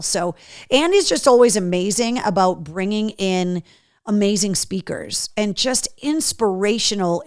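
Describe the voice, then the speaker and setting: A middle-aged radio host woman, chatty and upbeat, sprinkling ums through her announcements. Her voice is deep and worn-in from years on air, yet smooth and clean. It sounds close and dry on a studio mic. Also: a little mellow, dry recording. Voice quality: deeper, worn-in voice from years of talking. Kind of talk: casual live-show announcements.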